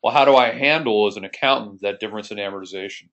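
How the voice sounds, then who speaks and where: American man, slightly deep voice, instructor, methodical step-by-step explanation, screencast lesson. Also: quick pace, fairly bright tone, muffled recording. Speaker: accounting instructor.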